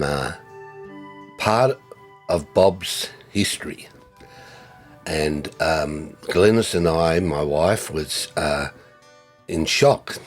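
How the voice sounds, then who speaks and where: An older man, giving a reflective tribute in a halting way with many fillers and restarts. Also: very deep voice. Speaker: former ministry co-worker.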